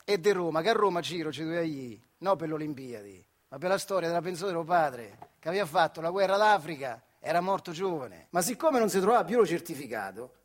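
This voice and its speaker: Guy w/ marche accent doing a stage monologue, high voice, folksy dialect storytelling, chatty asides. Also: lively intonation, fast, hardly any pauses. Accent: central Italian, Marche.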